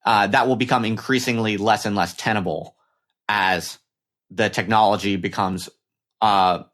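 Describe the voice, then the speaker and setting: A man hosting an intellectual interview, speaking in a deliberate, hesitant way and repeating phrases as he works out his point. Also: fairly low pitch, steady tone, fairly bright tone. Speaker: podcast host, interviewer. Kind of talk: intellectual discussion, unscripted.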